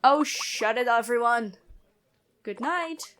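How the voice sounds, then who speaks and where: A woman playing a stern but kind, noble-born prison guard in a fantasy ASMR roleplay, talking in a measured, conversational way. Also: fairly high pitch, fairly bright tone. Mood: firm, commanding.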